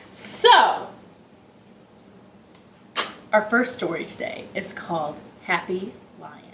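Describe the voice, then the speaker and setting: Storytime host: woman, slow-paced, playful and rambling with young children, recorded in a reverberant living room. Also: medium pitch, background noise. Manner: playful, chatty, rambling asides.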